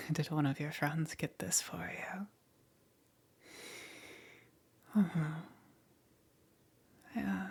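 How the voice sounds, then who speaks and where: A woman playing a smug, teasing demon queen in an ASMR roleplay, with a breathy, whispery voice and a taunting, seductive menace. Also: lowish voice, heavily acted. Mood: amused, curious. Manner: slow, taunting, punctuated by soft chuckles.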